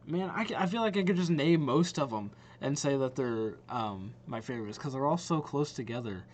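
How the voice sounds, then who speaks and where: A young man hosts a reaction video in a casual, rambling style. His voice sits at an average pitch for a man. There is faint noise and hum behind him. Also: animated, fairly quick, a little mellow.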